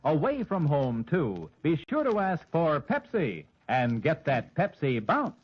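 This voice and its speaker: A man delivering a scripted old-time radio advertisement as a pitchman. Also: huge pitch swings, measured pace, slightly rough. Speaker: commercial pitchman. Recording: dull noisy old radio recording.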